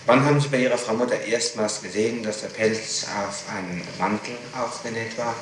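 Very echoey tape of a low-voiced male prosecutor. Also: fairly even intonation, brisk. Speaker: prosecutor questioning a witness. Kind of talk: formal interrogation. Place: reverberant room, interrogation recorded on tape. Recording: very echoey archival tape, clearly noisy.

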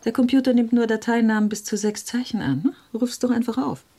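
An actress in a radio play playing a restless, wry museum worker, talking in a dry, assertive, conversational way. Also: female voice, fairly high pitch, steady tone, brisk, background noise, natural voice. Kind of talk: naturalistic radio drama dialogue.

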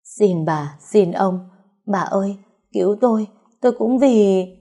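A woman's voice: mid-range voice, takes it slowly, dark tone. A woman narrator voicing a terrified, guilty servant woman in an audio story, pleading and repeating herself frantically.

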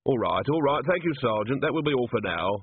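A wary watch commander gives short, suspicious orders, as a man reads him. The voice is husky and lively in pitch. It comes through a narrow, phone-like channel. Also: fairly quick. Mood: curt. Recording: phone-like narrow band, dry.

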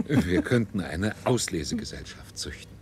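A man's voice: low-pitched, very animated, normal speed, darkish tone, slight background noise. A man playing a smug, gleefully sinister ruler-scientist in a German science fiction radio play, declaiming pompously. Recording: radio drama with music underneath.

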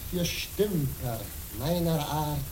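A woman's voice: deep voice, slow, mellow, slight background noise. A woman playing a theatrical performer of an old tale in stylised radio drama declamation. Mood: mannered, chanting. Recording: echoey room with music behind.

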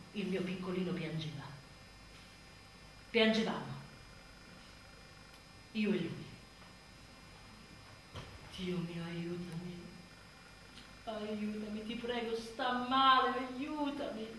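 A woman's voice: mid-range voice, slow pace, long pauses, a bit bright. An actress playing an exhausted, embittered young mother in a theatrical radio monologue, sardonic and volatile. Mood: weary despair. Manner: volatile, sardonic, swearing, swinging from outbursts to murmurs. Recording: roomy echoing stage, distant mic, faint noise.

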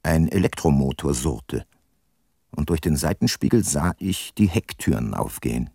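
An actor playing a world-weary private detective who narrates his case soberly in a crime radio drama, with a husky voice. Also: very deep voice, animated, moderate pace, dark tone, no room sound, clean studio sound, exaggerated voice. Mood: sober, reflective.

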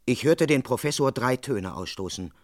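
A man's voice: mid-range voice, animated, a little mellow, dry recording, close to an everyday voice. Formal, dry-sounding police constable played by a man. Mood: measured, tense. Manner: measured, formal, officious, precise. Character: dutiful, formal London police constable, narrating his own case. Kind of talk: German crime radio play, first-person narration and dialogue.

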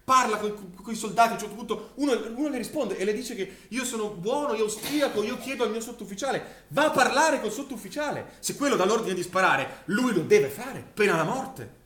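Male, stage drama: distraught war survivor giving testimony, higher-pitched voice, halting and repetitive, roomy echo. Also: expressive, fairly bright tone. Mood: urgent, tense. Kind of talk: theatrical monologue in a radio-broadcast stage play.

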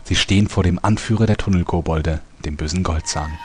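A man with a very deep voice narrates a parody radio soap in the style of a dramatic announcer. Clear music plays underneath his voice. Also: a little fast. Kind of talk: scripted narration.

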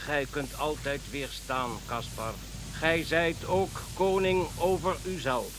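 A solemn, high-voiced devout king. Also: takes it slowly. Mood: solemn exhortation. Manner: grave, formal, preaching. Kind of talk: Dutch radio play acting. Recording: faint background hiss.

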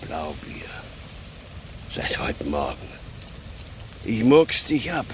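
A man's voice: low voice, wildly swooping pitch, drawn-out words, a little hiss or hum, full character voice. An actor playing a very old, drunken, grieving grandfather set on revenge, bitter and terse in a radio drama. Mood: bitter, threatening. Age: elderly.

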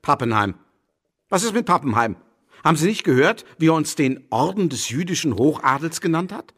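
An actor playing a proud, indignant man who speaks emphatically and haughtily in a radio drama, his voice husky. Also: on the high side, sing-song, brisk, a bit bright, heavily acted. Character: proud, indignant Austrian man defying officials.

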